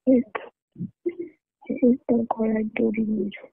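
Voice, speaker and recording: A woman's voice: a little high, little pitch movement, measured pace, creaky, husky, no room sound. A young woman giving short, terse replies in a casual private phone conversation. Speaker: caller talking to a romantic partner. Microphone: phone call. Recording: dull, lo-fi phone call recording.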